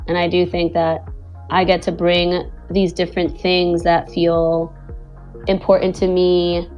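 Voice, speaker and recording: A woman's voice: lowish voice, monotone, measured pace, darkish tone, muffled recording, faint music behind. A woman from Venezuela, a guest animator and director, talking casually and rambling with lots of "like" and "you know". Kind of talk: casual chat in an online audio space.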